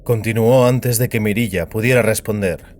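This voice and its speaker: A man's voice: on the low side, normal speed, a little mellow. A man reading a science fiction story as scripted dramatic narration.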